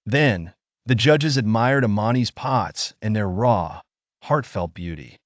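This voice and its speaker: A man narrating a scripted folktale in a measured, expressive storytelling manner with deliberate phrasing. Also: on the low side, dry recording, dull audio.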